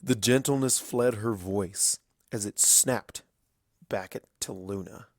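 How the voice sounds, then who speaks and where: A man narrating dramatic fiction in a grave, deliberate storytelling style, with weighty pauses. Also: lowish voice, dry recording, clean studio sound.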